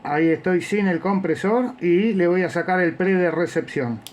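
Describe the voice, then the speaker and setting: A man's voice: high voice, moderate pace, very noisy. A man with a Buenos Aires accent chatting casually as an amateur radio operator, using radio jargon and call signs. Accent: Buenos Aires Spanish. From Argentina. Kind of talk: casual radio contact while testing equipment.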